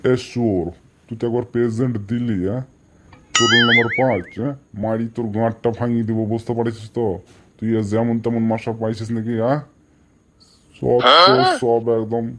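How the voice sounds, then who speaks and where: A man's voice: medium pitch, level tone, brisk, noisy background, lightly acted. A man voicing a strict, sarcastic village schoolteacher in a comedy cartoon, scolding in colloquial speech with a rural West Bengal accent.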